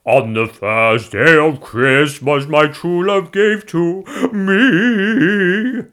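A man's voice: sits high, sing-song, unhurried, sharp tone, voice pushed up, heavily acted. A boisterous husband played by a man reading a comic story, boyish and theatrical. He shows off in a raised, exclamatory voice that swoops about. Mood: grandly singing. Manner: theatrical, exclamatory, sing-song.

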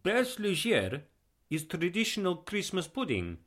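Storybook visitor, played by a man: young Eastern European male, earnest and courteous, accented, raised pitch. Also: huge pitch swings, a little slow, a bit bright, exaggerated voice. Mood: proud, earnest. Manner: courteous, earnest, foreign phrasing.

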